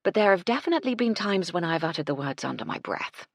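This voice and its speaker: Woman as a composed, genteel lady, slightly husky. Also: fairly low pitch, sing-song, dry recording, clean studio sound, pitched above the performer's own voice. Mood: firm, wry. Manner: measured, genteel, reassuring. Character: composed, kindly, firm lady in charge of the household.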